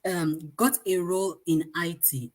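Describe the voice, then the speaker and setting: A woman's voice: on the low side, fairly even intonation, unhurried. A woman hosting a casual live online interview, chatty and encouraging with her guest.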